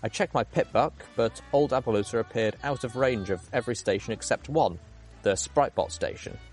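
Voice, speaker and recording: A man's voice: mid-range voice, a little fast, soft background music. A man reading a first-person adventure story aloud as dramatic narration. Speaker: audiobook reader.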